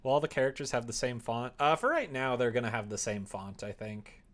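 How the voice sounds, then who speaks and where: A man's voice: medium pitch, lively intonation, brisk, a bit bright. A man streaming game development, chatting casually and thinking aloud in a rambling, playful way as he works at his computer. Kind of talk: casual livestream chat while working. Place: indoors at a computer desk.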